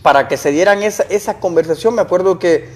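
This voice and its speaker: Young man, guest, earnest storyteller, raised pitch. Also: fast, a little mellow. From El Salvador. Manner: earnest, explains with rhetorical questions. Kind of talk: casual interview storytelling.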